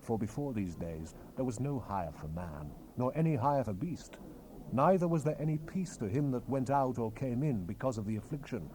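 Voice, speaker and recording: A man's voice: mid-range voice, expressive, moderate pace, mellow, close to an everyday voice, poor audio. A man playing a solemn, commanding Hebrew prophet in a dramatized Bible reading, with grave, declamatory, emphatic phrasing, on an old cassette rip. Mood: solemn, proclaiming. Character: solemn, commanding male Hebrew prophet relaying God's word. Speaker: scripture narrator. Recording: hissy unprocessed cassette tape rip.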